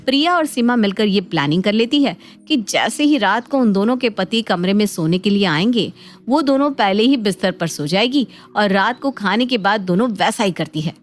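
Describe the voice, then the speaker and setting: A woman's voice: medium pitch, animated, moderate pace. A woman narrating a scripted cartoon family story in a dramatic storytelling manner. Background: faint background music.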